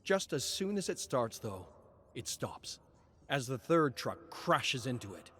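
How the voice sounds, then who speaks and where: Slow-paced man narrating a dramatic story. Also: medium pitch, sing-song, fairly bright tone, slight background noise. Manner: measured, solemn storytelling with dramatic pauses.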